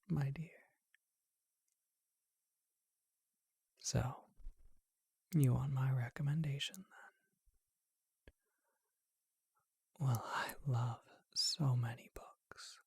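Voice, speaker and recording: A performer voicing a charming, courtly male vampire who keeps a bookshop, whispering slowly and deliberately in an ASMR roleplay. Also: medium pitch, vocal fry, darkish tone, breathy edge, dry recording, studio quality, heavily acted. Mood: charming, teasing.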